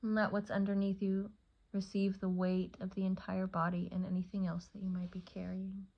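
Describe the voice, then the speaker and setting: A woman leading a guided meditation as a yoga nidra teacher, speaking in a soothing, unhurried way with long pauses between short phrases. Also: medium pitch, monotone, creaky, dull audio.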